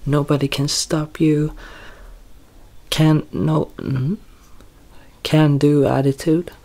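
A soft-spoken young man in an ASMR roleplay as a quirky, deadpan doctor, hesitant and halting, sometimes drifting toward a whisper. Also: on the high side, creaky. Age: young adult. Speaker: ASMR performer playing a bumbling doctor. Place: small curtained room at home, indoors.

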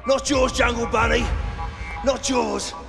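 A man playing a bigoted older London gangland boss in a video game, ranting menacingly in a gravelly voice. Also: extremely high pitch, flat delivery, measured pace, heavily acted. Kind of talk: video game cutscene acting. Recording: echoey hall under loud music.

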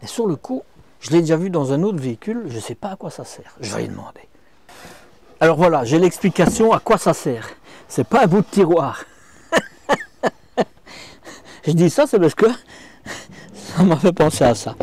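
A man's voice: on the high side, a little mellow. A middle-aged man giving a chatty walkthrough of a camper van's interior, talking straight to the viewer on a clip-on mic. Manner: chatty, addresses viewer directly, frequent hop-hop and hein. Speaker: motorhome reviewer. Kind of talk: casual walkthrough vlog. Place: inside a camper van. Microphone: small clip-on mic.

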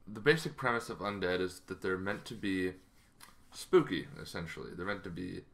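A man in his thirties with a low-pitched voice talks through his material like a hobby vlogger at home. His delivery is casual and conversational, a little halting as he works through each point. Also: vocal fry. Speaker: tabletop gaming video host. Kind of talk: casual explanatory vlog. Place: small bedroom at home, indoors.

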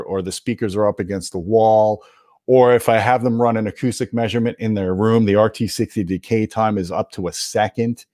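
A man with a fairly low voice hosts a gear explainer, recorded dry with very little room sound. He talks straight to viewers in a conversational, opinionated way, leaning on his key points. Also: a little mellow, no room sound. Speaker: host of an audio gear video channel. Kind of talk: explanatory product commentary to viewers.

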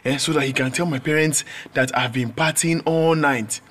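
A man's voice: fairly high pitch, moderate pace, fairly bright tone. An actor with a Nigerian accent playing a weak, timid young male student, hesitant and plaintive, in a radio drama. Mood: groggy, worried.

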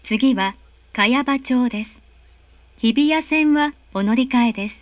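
A woman delivers recorded train announcements in a high, courteous voice. Her phrasing is formal and carefully enunciated. The sound is dry and a little muffled, as captured from a carriage speaker. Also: measured pace, no room sound. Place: recorded announcement played inside subway car.

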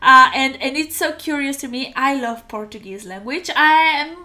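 A young woman from Spain with a Spanish accent, chatting casually to camera as a reaction host in an animated, halting, giggly way. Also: high voice. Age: young adult. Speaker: host of a music reaction channel. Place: small room at home, indoors.

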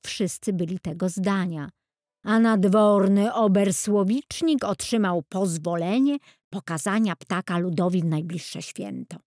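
A woman reading a children's storybook as a scripted, expressive and deliberate audiobook narration. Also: mid-range voice, no room sound, studio quality.

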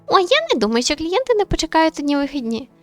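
A woman voicing a chatty, eager, insistent young woman in a visual novel, with animated, friendly delivery. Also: sits high, fast, faint music behind, voice pushed up. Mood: insistent, cheerful.

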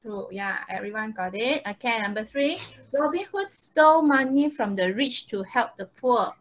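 A woman's voice: on the high side, animated, moderate pace, poor audio. A woman with a Malaysian accent teaching a live online English lesson, informal and often checking with short tag questions. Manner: informal, checks with "okay" and "right?". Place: online video call, presenting slides.

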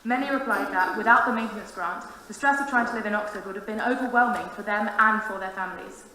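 A woman's voice: medium pitch, fast, pushed and bright. A woman in her twenties reading a prepared, formal, earnest speech to a council meeting as a student union president. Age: 20s. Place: large council meeting room. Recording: very echoey room, faint noise.

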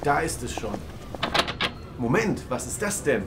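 A man's voice: mid-range voice, sing-song, measured pace, very noisy. A man playing a decisive podcast host who leads his friends on a rescue in an amateur audio drama. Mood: earnest. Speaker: podcast host and team leader.

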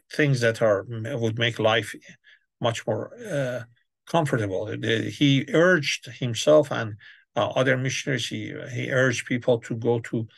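A man's voice: mid-range voice, creaky, dry recording. A man giving an online church history lecture, hesitant, with many fillers and restarts. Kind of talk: online lecture over slides. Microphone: webcam on a video call.

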